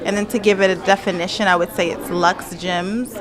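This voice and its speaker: A woman fashion designer answering interview questions in a relaxed, thoughtful way, with many fillers. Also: medium pitch, a little fast, crowd noise, low-quality recording. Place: fashion presentation event. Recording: music playing behind. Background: music.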